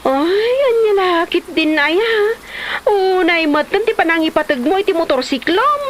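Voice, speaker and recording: A woman plays a scolding Ilocano wife in a comedy drama. Her voice is extremely high, nagging and exclamatory with exasperation. The recording has clear background noise. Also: animated, moderate pace. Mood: questioning, nagging. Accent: Ilocano Filipino. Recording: noisy amateur drama recording.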